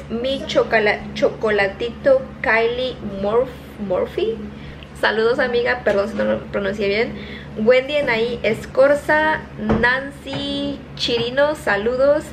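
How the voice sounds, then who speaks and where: A woman's voice: fairly high pitch, background noise. Chatty Mexican woman vlogging on a phone. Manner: chatty, reading names quickly, laughing at herself. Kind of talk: casual shout-outs to viewers. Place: home kitchen, indoors. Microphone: phone held at arm's length.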